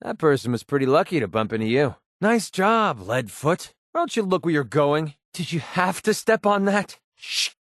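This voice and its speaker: A brash young mercenary from a video game, high-pitched for a male. He swings widely in pitch and fires off sarcastic, clipped wisecracks. The recording is close and dry. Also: very animated, moderate pace, hardly any pauses, a bit bright, dry recording. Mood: wry. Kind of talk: video game companion voice lines.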